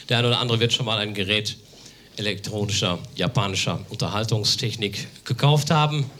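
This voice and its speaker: A man from Germany doing a live comic reading on stage, deadpan and mock-formal with emphatic declamation. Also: mid-range voice. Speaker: comedic reader on stage. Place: live on stage in a theatre café, audience laughter behind.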